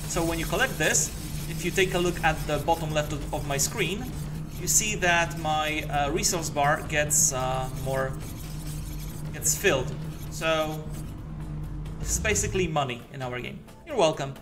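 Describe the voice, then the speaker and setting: A man's voice: fairly high pitch, a little slow. A young man from Poland, a game developer chatting casually as he plays and explains the game. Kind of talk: casual live commentary over gameplay. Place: indoor room. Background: game music and effects.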